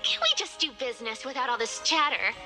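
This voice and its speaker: High-pitched, cheeky young thief girl played by a woman. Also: quick pace, close to an everyday voice. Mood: teasing. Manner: playful, bragging, teasing. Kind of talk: video game voice acting. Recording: loud music under the voice. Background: loud game soundtrack music.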